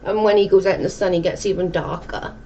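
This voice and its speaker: A woman's voice: on the low side, steady tone, quick pace, mellow. A woman in her forties with a South Welsh accent, chatting casually as a livestream host and reading out comments. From South Wales. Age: 40s. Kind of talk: casual chat with live viewers, reading out comments. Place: dim indoor room at home. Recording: muffled, clearly noisy room recording.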